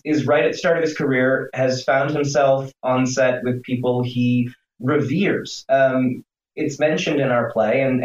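A man, a stage actor, answers an interview question at length, talking animatedly with many restarts and fillers. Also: medium pitch. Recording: distant roomy muffled sound.

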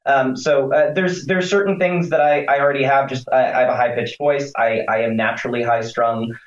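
A man's voice: mid-range voice, steady tone, fairly quick. A man, a stage actor, answers an interview question at length, talking animatedly with many restarts and fillers. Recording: distant roomy muffled sound.

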